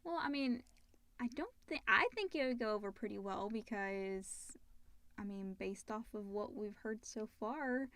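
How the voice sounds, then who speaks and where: A woman's voice: mid-range voice, a little slow, fairly bright tone, no echo. A woman co-hosting a casual podcast, reading out listener questions and chatting about them.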